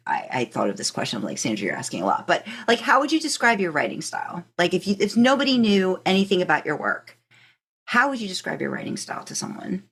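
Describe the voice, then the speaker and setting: Lower-pitched woman hosting a casual interview. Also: big pitch swings, a bit bright, husky. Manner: halting, thinks aloud, rephrasing questions; encouraging, affirming. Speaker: podcast host interviewing a guest.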